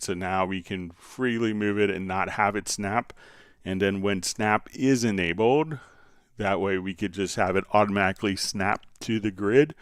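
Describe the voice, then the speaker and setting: A man's voice: fairly low pitch, clear, resonant tone, dry recording, studio quality. A man hosting a casual tutorial livestream, explaining things step by step as he answers viewer questions. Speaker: livestream host, software instructor.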